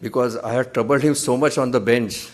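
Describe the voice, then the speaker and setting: A man in his sixties with an Indian English accent, speaking at a podium microphone on the stage of a large auditorium. He delivers a formal memorial lecture in a measured, earnest way, with dry humor in his anecdotes. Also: medium pitch, steady tone. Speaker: judge giving a memorial lecture.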